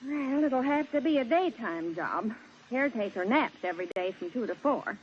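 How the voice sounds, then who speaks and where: A scheming mother of young crooks, played by a woman, speaking in a fairly high voice. She is calm and coaxing, as in a 1950s radio drama. The sound is dull, with some background hiss. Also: normal speed, lightly acted. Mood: scheming, proud. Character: scheming criminal mother, calmly coaching her sons. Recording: muffled, noisy old radio broadcast.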